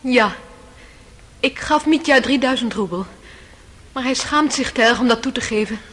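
A woman's voice: a little high, normal speed, a bit bright. An actress playing a proud, composed young noblewoman giving testimony in a radio drama. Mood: composed, resolute. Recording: reverberant, noisy old radio play recording.

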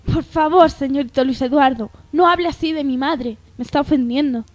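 A woman's voice: sits high, fairly quick, natural voice. A woman playing a polite, proud young woman in an amateur radio soap opera, earnest and deferential. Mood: offended.

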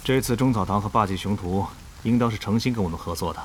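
A man acting as a guild leader with a fairly low, measured voice. He sounds decisive and calculating in radio-play dialogue, over clear rain-like noise. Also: natural voice. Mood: commanding. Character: calculating, authoritative male online guild leader. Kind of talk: Chinese audio drama dialogue.

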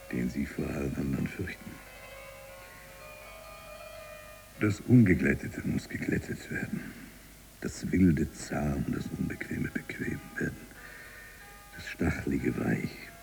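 An embittered libertine writer with revolutionary zeal, speaking from confinement in a radio play. His voice is extremely low, dark and full of fry. He broods and declaims deliberately, sinking toward breathy murmurs, with music faintly present. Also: exaggerated voice, rough recording. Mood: brooding, defiant. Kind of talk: literary radio drama monologue.

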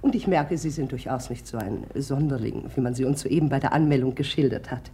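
An actress in a 1950s German radio play, playing an imperious, blunt middle-aged general's wife with a commanding, capricious manner. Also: female voice, rumbling low, brisk, dark tone. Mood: imperious, appraising.

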